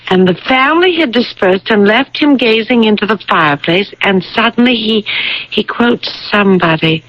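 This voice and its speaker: An actress playing a thoughtful, bookish young woman, speaking with deliberate dramatic phrasing in a radio mystery play. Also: on the high side, dry recording. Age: young adult. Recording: dull vintage radio broadcast.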